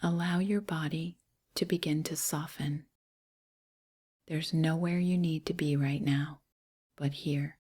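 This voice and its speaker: A woman leads a guided meditation in a soft, breathy voice that sits close to a whisper. Her pitch is on the low side and her delivery is very slow. She speaks gently in short phrases, leaving space between them. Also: fairly even intonation. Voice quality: breathy, hushed, near-whisper. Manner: gentle, unhurried phrasing with pauses.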